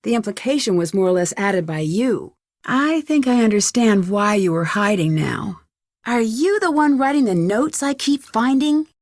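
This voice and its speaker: An actress with an American accent playing an inquisitive, determined young amateur detective in a video game, speaking clearly and questioningly. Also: female voice, on the high side, lively intonation, normal speed, darkish tone, no room sound, studio quality, close to an everyday voice. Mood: curious, probing. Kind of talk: scripted video game dialogue.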